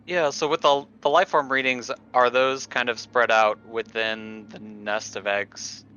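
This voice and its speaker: A man playing a tabletop game, talking casually and deliberately with some hesitation over a dull lo-fi mic. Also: medium pitch, flat delivery, bright, forceful tone, smooth. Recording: dull lo-fi mic, faint noise.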